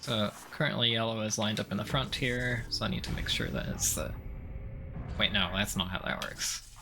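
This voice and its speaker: A man's voice: on the low side, moderate pace, bright, forceful tone, slight background noise. A man giving casual gameplay commentary, thinking aloud in a hesitant, self-directed mutter with occasional mild swearing. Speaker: gamer doing a video game playthrough.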